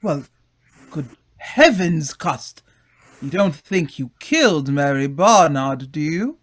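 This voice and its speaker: Man acting bluff, hearty upper-class gentleman. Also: on the high side, very animated, slow, full character voice. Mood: reassuring, brisk. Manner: bluff, exclamatory, patronizing. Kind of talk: old-time radio drama acting.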